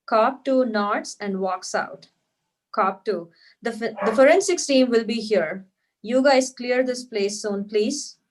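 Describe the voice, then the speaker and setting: A woman's voice: a little high, slow. A woman narrating stage directions at a script table read.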